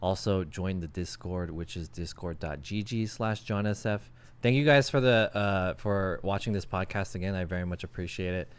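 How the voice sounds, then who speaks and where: Deep, smooth-voiced man in his thirties. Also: moderate pace. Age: 30s. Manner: loose, rambling with fillers. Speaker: podcast host and streamer. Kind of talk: casual podcast chat.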